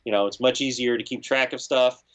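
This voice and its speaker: A man, a guest audio engineer, giving relaxed advice in an interview and filling his speech with "you know" and "like". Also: medium pitch, fairly quick. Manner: laid-back, lots of "you know" and "like". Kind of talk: casual interview talk.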